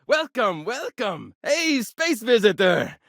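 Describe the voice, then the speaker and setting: An excitable, high-pitched starship engineer. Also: male voice, slow pace, vocal fry, no echo, studio quality, exaggerated voice. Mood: eager, curious. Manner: excitable, inquisitive, chatty. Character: eager, curious male chief engineer of an aging colony starship. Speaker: chief spaceship engineer. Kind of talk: video game character dialogue.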